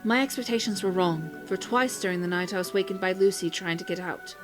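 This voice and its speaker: A woman reading a novel's journal entry aloud in measured, formal narration. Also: on the low side, steady tone, music in the background.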